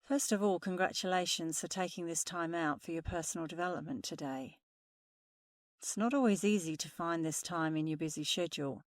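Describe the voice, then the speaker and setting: A woman meditation instructor speaking softly and soothingly through a guided meditation. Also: lowish voice, no room sound, clean studio sound. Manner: slow, soothing, soft-spoken guidance.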